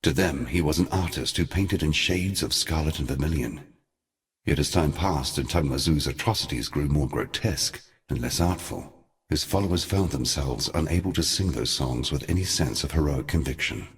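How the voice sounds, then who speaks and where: An actor playing an ancient, brooding god and grave mentor in a video game, with solemn, eloquent, theatrical delivery. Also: male voice, very deep voice, moderate pace. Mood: grim. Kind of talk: theatrical scripted game dialogue.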